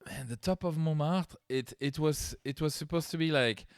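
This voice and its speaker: A man's voice: a little high, normal speed, dry recording, clean studio sound. A man from France co-hosting a podcast in casual chat, joking and rambling, with a lingering cough from covid. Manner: joking, rambling with restarts and fillers. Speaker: podcast co-host.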